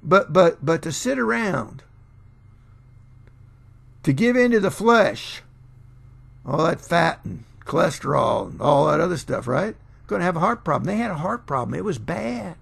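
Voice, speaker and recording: An older man, a pastor in his sixties or older, preaching a sermon with emphatic, deliberate pauses and repeated phrases in a gravelly, husky voice. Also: a little high, lively intonation. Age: 60s or older. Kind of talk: sermon, expounding scripture to camera. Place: small furnished room at home, indoors.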